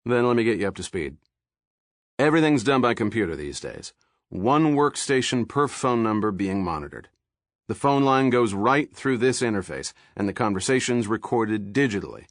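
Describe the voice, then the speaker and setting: A man voicing a brisk male agent explaining equipment in a quick, matter-of-fact way. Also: on the low side, animated, no echo, clean studio sound.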